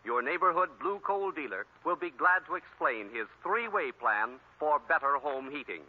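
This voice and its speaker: A male announcer delivers scripted copy in classic 1940s radio fashion. His fairly high voice is formal and emphatic. The recording is narrow and tinny. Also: expressive, normal speed.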